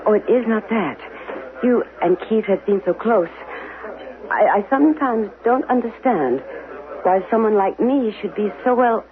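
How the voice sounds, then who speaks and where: An actress playing an anxious mother in a regimented future society, speaking haltingly and stiffly formally in a radio drama. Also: mid-range voice, animated, narrow, tinny audio, lightly acted. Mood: worried, halting. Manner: halting, repeats words, stiffly formal without contractions. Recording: band-limited 1970s radio broadcast.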